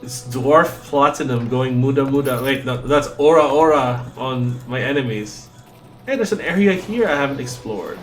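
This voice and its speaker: A man's voice: medium pitch, animated, normal speed, faint music behind. A man whose first language is Cebuano, chatting and joking as he streams a game and reads its text aloud. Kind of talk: let's play commentary.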